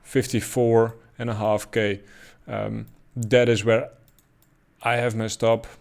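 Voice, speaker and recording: A man's voice: lowish voice, flat delivery, slow pace, vocal fry, a little mellow. A man with a Dutch accent giving casual, thinking-aloud commentary on price charts, with frequent ums and uhs. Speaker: crypto chart analyst.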